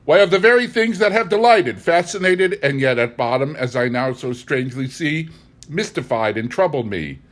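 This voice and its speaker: A man reads the part of an agitated young governess in a gothic storybook. The speech is insistent and fervent, with emphatic stresses and wide, animated swings of pitch. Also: normal speed, close to an everyday voice. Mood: feverish. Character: intense, agitated governess, an anxious young woman.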